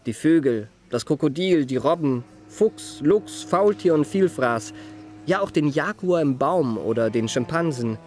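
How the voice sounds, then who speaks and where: An actor playing an eloquent, flattering young gentleman with florid, courteous manners in a radio play. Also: fairly high pitch, a little slow, faint music behind. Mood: enthusiastic, playful. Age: young adult. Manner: florid, courteous, elaborately polite.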